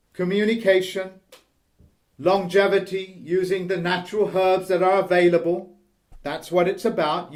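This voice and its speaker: A man hosting a video, delivering a slow, emphatic monologue. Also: high voice, darkish tone. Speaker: host.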